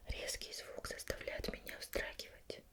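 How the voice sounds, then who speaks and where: ASMR roleplay, woman as female investigator: all in whisper, soft-spoken, formal, patient, measured questioning. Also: close to an everyday voice. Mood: calm, instructive.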